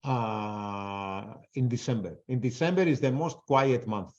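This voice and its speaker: A man's voice: mid-range voice, measured pace, a little mellow. Blunt man with an accent, lo-fi webinar. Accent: non-native English. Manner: blunt, casual, swears freely. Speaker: trader presenting a webinar. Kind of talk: live trading commentary with audience Q&A. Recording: dull lo-fi webinar audio.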